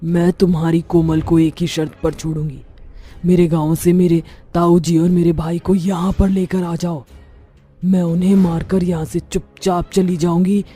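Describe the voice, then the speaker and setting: A woman's voice: on the low side, level tone, moderate pace, mellow, breathy edge, soft background music. A woman voicing a vengeful, bitter female witch ghost in a horror cartoon, speaking in a menacing, declaiming way. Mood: commanding. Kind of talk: Hindi horror cartoon voice acting.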